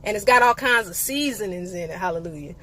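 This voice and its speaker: A woman's voice: mid-range voice, animated, quick pace, fairly bright tone, rough recording. A young woman, telling a playful, sassy story in a casual vlog. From eastern North Carolina. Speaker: vlogger.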